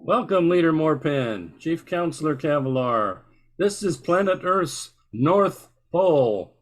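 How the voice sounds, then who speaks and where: A man's voice: on the high side, lively intonation, slow pace. A man playing a welcoming captain in an amateur radio play, affable and a little formal. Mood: genial. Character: genial, welcoming male captain.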